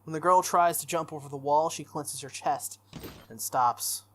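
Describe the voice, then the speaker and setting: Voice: medium pitch, normal speed, a little hiss or hum. A gaming YouTuber reading a visual novel aloud and breaking off for casual, jokey commentary. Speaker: gaming YouTuber, let's player. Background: game music.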